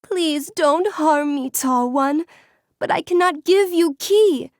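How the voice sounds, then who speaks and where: A woman's voice: high voice, slow pace, no room sound, studio quality, pitched above the performer's own voice. A woman voicing a small elderly male dragonkin jailer, fearful yet defiant, speaking in broken grammar as a game character. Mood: frightened, pleading. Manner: pleading, broken grammar, indignant. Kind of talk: game master's character voice in video game.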